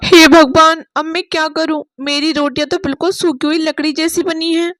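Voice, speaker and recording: A woman's voice: high voice, fairly even intonation, normal speed, fairly bright tone, no room sound, studio quality. A woman voicing an anxious, timid young daughter-in-law who doubts herself, in dramatized storybook dialogue. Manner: worried, self-doubting.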